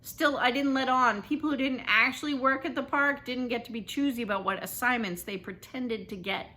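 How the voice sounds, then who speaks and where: A woman reading a children's novel aloud as its author, in an animated, dramatic first-person storytelling style. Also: on the high side, fairly quick.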